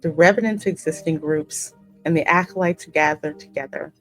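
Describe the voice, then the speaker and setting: Gamer lady, slightly low voice, acts out the script n reacts, faint music behind. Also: moderate pace, rough recording. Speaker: gaming host voicing the player character. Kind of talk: dramatic script reading mixed with casual reactions. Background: game music.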